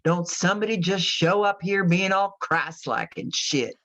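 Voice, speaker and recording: A woman acting in a radio drama as an anguished, guilt-ridden mother in prison, speaking in a halting, confessional way. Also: on the low side, expressive, fairly bright tone. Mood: wry, moved. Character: guilt-ridden imprisoned mother who killed her daughters.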